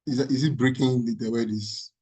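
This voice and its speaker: Hoarse man presenting over a call. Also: mid-range voice, steady tone, fairly quick, a little mellow. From Ghana. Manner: apologetic, hesitant. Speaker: seminar presenter. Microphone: online call audio.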